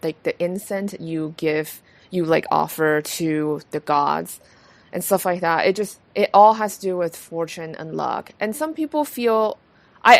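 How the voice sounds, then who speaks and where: A woman's voice: lowish voice, no echo. A woman from Taiwan hosting a podcast, chatting casually with many fillers and restarts. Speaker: podcast host. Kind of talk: casual conversation.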